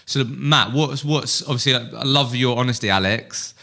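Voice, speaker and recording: Brief: male podcast host, bright tense voice, circles around his questions. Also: mid-range voice, normal speed. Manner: rambling, long circling questions. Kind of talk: casual podcast interview.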